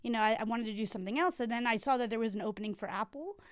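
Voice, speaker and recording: A woman's voice: fairly high pitch, rapid-fire, no echo, studio quality. A woman in her thirties from Texas, telling her career story as a podcast guest in casual chat with many fillers. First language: Spanish alongside English. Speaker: guest, library branch manager. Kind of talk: casual interview.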